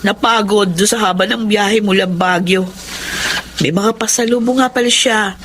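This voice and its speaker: An actress plays a dutiful elder sister in a Filipino radio drama. She is plain-spoken and sceptical, with a middle-pitched voice. Faint noise and ambience sit behind her. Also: moderate pace, natural voice. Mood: worried.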